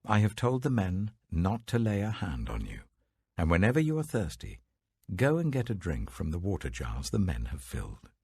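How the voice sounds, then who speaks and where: A dignified older landowner played by a British man speaks with gentle authority in a scripture audiobook. The voice is very low and heavily husky, protective and generous, recorded dry and close. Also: wildly swooping pitch, moderate pace, dry recording, full character voice.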